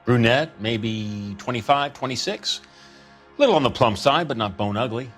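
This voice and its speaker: A man's voice: medium pitch, animated, moderate pace, fairly bright tone, faint music behind. An actor playing a slick, folksy used-car salesman in a video game, full of jokey patter and calling people son. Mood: breezy, wry. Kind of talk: video game character acting.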